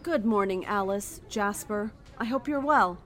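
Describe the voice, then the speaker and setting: A woman playing a calm, zealous cult leader in a horror audio drama, speaking in a measured, formal, persuasive way. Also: mid-range voice, natural voice. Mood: serene, commanding.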